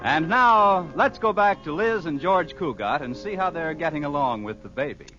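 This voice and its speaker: Music plays behind a male announcer on a muffled old radio recording. His fairly high voice delivers old-time show continuity. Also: animated, moderate pace.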